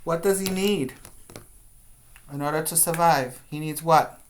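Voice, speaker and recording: A man's voice: fairly high pitch, unhurried, slight background noise. A man teaching preschoolers, speaking in an instructive, question-and-answer way as he reads aloud and guides young children.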